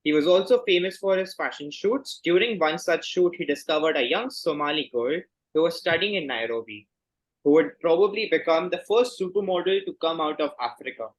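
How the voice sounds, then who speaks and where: Higher-pitched man reading as quiz host. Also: brisk, rough recording. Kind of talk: formal quiz reading to contestants.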